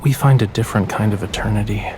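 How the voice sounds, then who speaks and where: Guy playing a moody teasing love interest in a romance game, soft deep-ish voice, music behind. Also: fast, natural voice. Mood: tender, brooding. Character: young male artist, romantic, brooding and teasing. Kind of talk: intimate romance game voice acting.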